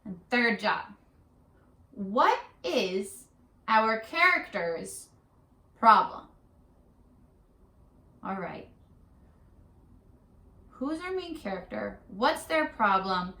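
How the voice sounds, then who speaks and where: A woman teacher leading a read-aloud lesson for young children, in an animated, questioning, sing-song way. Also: mid-range voice, very slow, long pauses. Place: classroom-style room. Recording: echoey room, distant mic.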